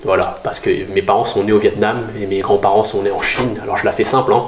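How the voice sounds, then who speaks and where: Guest, male 30s, Paris-raised, low earnest voice, roomy sound with faint hiss. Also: steady tone, quick pace, crowd noise. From Paris region, France. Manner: earnest, explanatory, with many "euh". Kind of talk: interview testimony.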